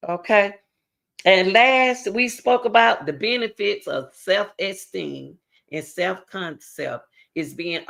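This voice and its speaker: A middle-aged woman with a drawl talks straight to her subscribers like a preacher, circling back and repeating her points. Her pitch sits in an ordinary middle range for a woman. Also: fairly bright tone. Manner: preachy, repetitive, direct address to viewers. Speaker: channel host. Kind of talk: motivational talk to camera.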